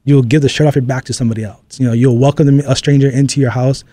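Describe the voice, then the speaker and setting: A man from Oʻahu, Hawaii speaks as a guest in a close, dry recording. His tone is warm and mellow, and he answers thoughtfully, often circling back and repeating phrases as he works out his ideas. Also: medium pitch, fairly even intonation, racing, no room sound. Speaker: guest, strength and conditioning coach. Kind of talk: reflective interview answers.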